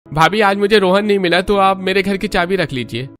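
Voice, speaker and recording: Puzzled young man, high voice, actor. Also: a little fast, a bit bright. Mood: friendly. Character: trusting, puzzled young neighbour man on night shifts. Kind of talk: cartoon voice acting.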